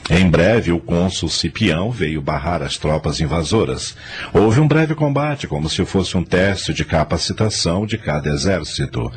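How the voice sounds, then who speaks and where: A man's voice: deep voice, lively intonation, normal speed, husky. A man narrating a radio drama in a scripted, storytelling style.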